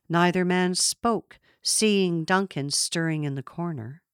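A woman narrates literary fiction in a dry, studio-like sound. Her delivery is slow and measured, with expressive storytelling and a slightly low voice. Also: clear, resonant tone, dry recording. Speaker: audiobook narrator.

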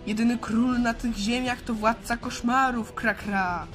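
A woman's voice: fairly high pitch, steady tone, a little slow, fairly bright tone, faint music behind. A woman voicing a menacing male crow-man monster who caws between phrases in a children's audio play. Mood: menacing, boastful. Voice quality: squawking, cawing. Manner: punctuates speech with crow caws.

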